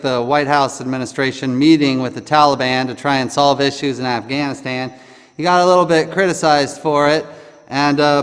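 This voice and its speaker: A man's voice: on the high side, smooth. A man chatting casually about current events as a host, blunt and opinionated, in a rambling, dismissive way. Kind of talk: casual political chat over the phone.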